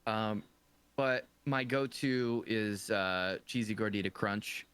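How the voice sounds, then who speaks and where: A man with a clear, smooth voice on the lower side. He banters casually over a card game in a playful, emphatic way. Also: slow, a bit bright.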